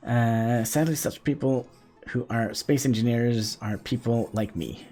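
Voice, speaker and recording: A man's voice: mid-range voice, unhurried, dark tone, faint music behind. A man from Norway, chatting casually to his live-stream viewers while he plays, laughing often. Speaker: game streamer.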